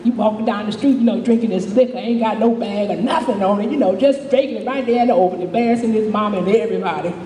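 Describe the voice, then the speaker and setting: A woman's voice: medium pitch, quick pace, hardly any pauses, mellow, far from the mic, close to an everyday voice. A woman performing a live monologue as a feisty, slightly crazy older street woman, rambling and folksy. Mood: reflective, folksy. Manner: folksy, rambling, chuckling, repetitive, dramatic. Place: live stage in a reverberant room. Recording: echoey hall from afar, audience noise.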